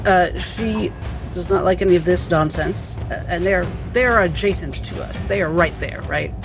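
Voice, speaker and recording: A woman's voice: lowish voice, moderate pace, over music. A woman playing a tabletop role-playing game, narrating what her character does.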